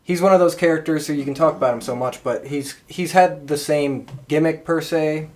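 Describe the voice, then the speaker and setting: A man's voice: fairly high pitch, steady tone, a little fast, a little mellow. Informal man chatting casually. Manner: informal, conversational. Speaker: podcast co-host. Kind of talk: casual chat.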